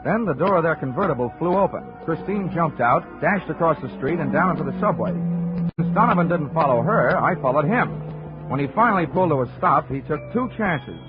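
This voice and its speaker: An old-time radio gumshoe, acted by a man, cool, sardonic and dogged. His delivery is clipped and highly inflected. The sound is thin and boxy, an aged broadcast with music in it. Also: expressive, fairly quick, narrow, tinny audio, natural voice. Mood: urgent narration. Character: hard-boiled wisecracking insurance investigator, cool and dogged. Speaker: lead actor and narrator. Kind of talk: 1950s radio detective drama acting, first-person narration.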